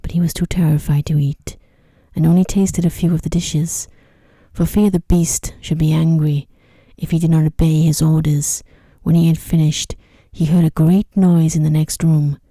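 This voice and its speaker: A woman narrating an ASMR sleep story in a soft, breathy voice, often near a whisper, close to the microphone. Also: low voice, level tone, normal speed, no room sound.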